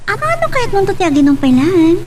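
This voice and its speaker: A chatty, excitable woman from an Ilocano radio drama, acted by a woman. Her pitch is very high and leaps about freely. She sounds set back a little from the mic. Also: fast talker, far from the mic, background noise. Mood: animated.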